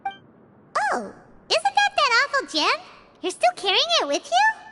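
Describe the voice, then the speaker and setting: A woman voicing a small, cheeky, childlike fairy companion in a video game, with a squeaky voice. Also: wildly swooping pitch, slow, very noisy, exaggerated voice. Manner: refers to self by name. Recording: background music behind voice.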